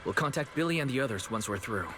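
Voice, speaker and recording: A man playing a calm, caring young guide in a video game, composed and earnest. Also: mid-range voice, fast talker, noisy background, lightly acted. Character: calm, caring young male guide, level-headed leader.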